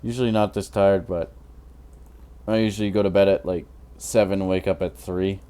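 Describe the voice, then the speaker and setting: A tired man in his twenties with a naturally deep voice, rambling through casual commentary while he builds in a game, full of fillers and restarts. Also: flat delivery, normal speed, mellow, smooth. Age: 20s. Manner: rambling, hesitant, many fillers. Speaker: Minecraft YouTuber.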